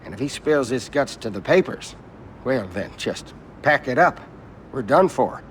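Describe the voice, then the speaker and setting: An actor playing an indignant, worried senator tangled up with mobsters in a game cutscene, agitated and sardonic. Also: male voice, mid-range voice, lively intonation, a little slow, a little hiss or hum, lightly acted. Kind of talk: video game cutscene acting.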